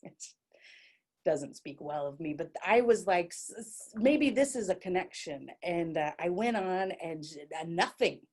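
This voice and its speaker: A woman's voice: lowish voice, animated, measured pace, a little mellow, breathy edge. A middle-aged woman from Dallas telling a funny personal story on stage, chatty and self-mocking, full of likes and restarts. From Dallas, Texas. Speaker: solo performer. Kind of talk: comic storytelling monologue.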